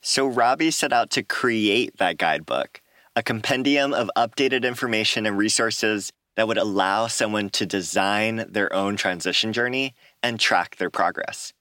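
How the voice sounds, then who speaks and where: A man hosting a podcast, reading scripted narration in a clear, measured way. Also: fairly low pitch, pushed and bright, no room sound, clean studio sound. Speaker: podcast host.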